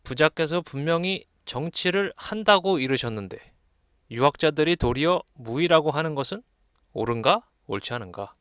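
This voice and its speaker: A man's voice: a little high, expressive, moderate pace, a bit bright, clear, resonant tone, no room sound. Man giving a dry-sounding explanatory lesson. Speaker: lecturer. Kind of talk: explanatory lesson, reading classical texts aloud and commenting.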